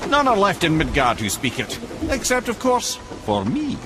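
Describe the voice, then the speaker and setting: An actor playing a wry, courtly old talking head and wise advisor in a video game. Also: male voice, sits high, wildly swooping pitch, moderate pace, background noise. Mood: wry, storytelling. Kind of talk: cinematic video game acting. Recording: music behind the voice.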